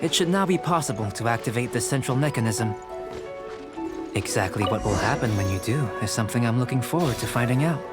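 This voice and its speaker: An actor playing a composed young male alchemist in a game, with measured, formal, scholarly speech. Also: medium pitch, expressive, lightly acted. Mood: calm. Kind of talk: game cutscene voice acting. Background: game music score.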